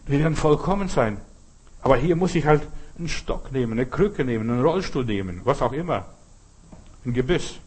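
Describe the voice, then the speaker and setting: Husky southern German man preaching. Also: medium pitch, animated, fairly quick, a little mellow. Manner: colloquial, direct address with frequent check-in questions and repetition for emphasis. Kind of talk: informal sermon to a congregation.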